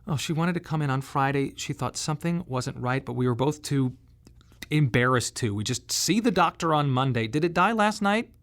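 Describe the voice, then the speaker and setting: A grieving young father in a radio play. His voice sits somewhat high for a man, and he speaks haltingly, questioning himself with raw, anguished feeling. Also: expressive, a bit bright. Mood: panicked, guilty. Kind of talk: radio drama monologue.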